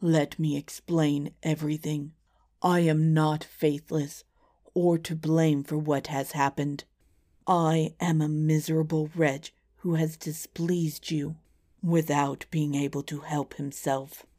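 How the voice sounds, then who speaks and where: A woman voicing a lovelorn, noble storybook king who pleads earnestly in a drawn-out, melodramatic way. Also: sits low, flat delivery, dark tone. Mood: pleading. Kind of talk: storybook character voice.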